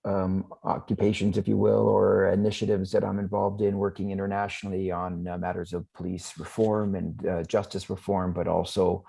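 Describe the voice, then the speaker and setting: A man's voice: deep voice, little pitch movement, normal speed, creaky, few pauses, warm tone, husky, poor audio. A middle-aged man, a former police chief, introducing himself formally with many fillers. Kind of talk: panel introduction.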